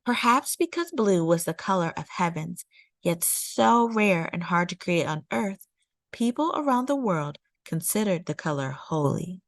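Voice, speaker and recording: A woman narrating a children's nonfiction picture book as a storytime reader, with measured, deliberate phrasing. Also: fairly low pitch, lively intonation, no room sound. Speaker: storytime read-aloud host.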